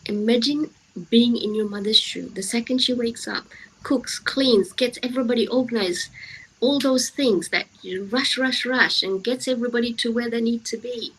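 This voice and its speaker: A woman's voice: a little high, normal speed, fairly bright tone, slightly rough, rough recording. A woman giving a motivational talk to young people, speaking emphatically with repeated phrases and rhetorical questions. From grew up in the Western world, of Indian heritage.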